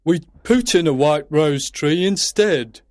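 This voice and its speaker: A man's voice: a little high, slow pace, a little mellow, dry recording, studio quality. A man playing a nervous, pleading playing-card gardener in a children's storybook. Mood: embarrassed, sad.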